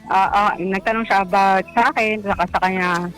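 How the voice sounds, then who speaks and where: A woman's voice: mid-range voice, steady tone, moderate pace, a bit bright, faint music behind, rough recording. A Filipino woman calling a radio show, telling a personal story in a casual, hesitant, self-deprecating way. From Philippines.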